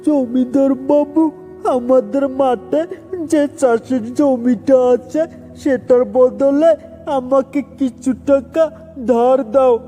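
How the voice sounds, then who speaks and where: A man voicing a devoted young village boy in a cartoon, pleading in a plaintive, drawn-out way. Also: very high voice, steady tone, warm tone. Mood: earnest begging. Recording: long reverb with music behind.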